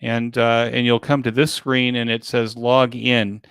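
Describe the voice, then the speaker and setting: A man being interviewed as an event organizer, giving an informal step-by-step explanation in a halting, repetitive way. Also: medium pitch, level tone, fairly bright tone, clean tone, no room sound, studio quality.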